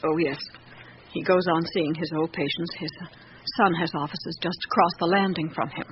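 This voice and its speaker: Muffled vintage radio play: actress as elderly housekeeper, troubled and loyal, measured, plaintive delivery, light hiss. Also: female voice, lowish voice, fairly even intonation, natural voice. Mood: subdued. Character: loyal elderly female servant of a count, troubled. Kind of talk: radio drama acting. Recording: muffled, noisy old radio recording.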